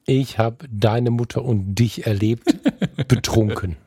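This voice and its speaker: A man's voice: mid-range voice, takes it slowly, warm tone, breathy edge, no echo, studio quality. A man co-hosting a podcast in casual chat, blunt and joking in the way he talks. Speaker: podcast co-host.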